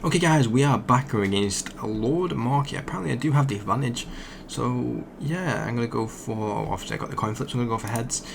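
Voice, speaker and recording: A young man, croaky from just waking up, rambling casually as he commentates a card game he is playing. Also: medium pitch, brisk, hardly any pauses, noisy background. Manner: rambling, thinks aloud with fillers. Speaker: gaming channel host.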